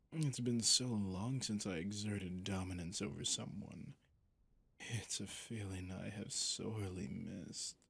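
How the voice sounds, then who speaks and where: A man playing a sadistic, seductive vampire in an ASMR roleplay, smug and world-weary, his breathy voice often sinking to a whisper as he savours his words with intimate condescension. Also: lowish voice, full character voice. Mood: languid, boastful. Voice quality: breathy, husky, gravelly, often dropping to a whisper. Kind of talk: scripted ASMR roleplay monologue.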